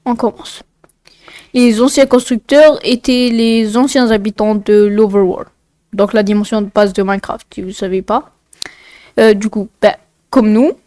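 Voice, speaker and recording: Female video narrator, informal and digressive, clear tone but nasally congested, recorded close and dry. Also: medium pitch, darkish tone, no room sound. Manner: informal, rambling, often digressing. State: congested, blocked nose. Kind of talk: casual explainer narration with asides.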